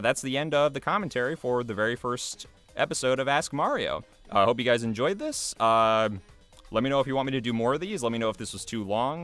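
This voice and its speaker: Low, smooth-voiced man, chatty. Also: fairly bright tone. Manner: chatty, rambling, self-effacing. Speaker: video creator giving commentary. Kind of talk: casual behind-the-scenes commentary. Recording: music playing behind the voice. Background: music.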